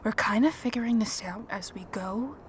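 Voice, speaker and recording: Casting: actress as combat-trained woman leading survivors, firm and terse, slightly high voice, faint ambience behind, audio drama. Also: normal speed, slight background noise, natural voice. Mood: exasperated, resolute.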